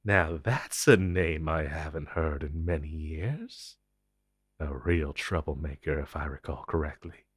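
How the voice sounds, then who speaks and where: An ancient telepathic entity voiced by a man addresses the listener in an intense audio drama. The voice is deep and husky, captured close and dry. It speaks with theatrical deliberation, possessive and condescending, a menacing protector of humankind. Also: unhurried, no room sound, exaggerated voice. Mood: smug menace.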